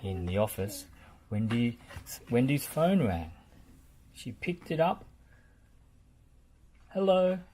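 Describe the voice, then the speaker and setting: A children's storyteller reads a picture book at a slow, deliberate pace. The voice is measured, and clear noise sits behind it. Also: medium pitch, big pitch swings, mellow.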